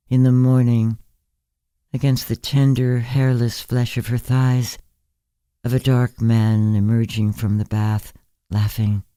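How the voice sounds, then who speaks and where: Slow, very mellow man narrating fiction. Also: on the low side, clean tone, dry recording. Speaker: story narrator. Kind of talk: literary audiobook narration of fiction.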